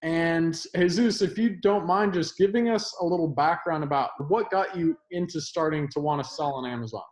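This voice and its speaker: Upbeat host in an echoey room. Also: male voice, normal speed. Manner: upbeat, fluent pitch to viewers. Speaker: host of an online selling channel. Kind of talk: casual interview hosting.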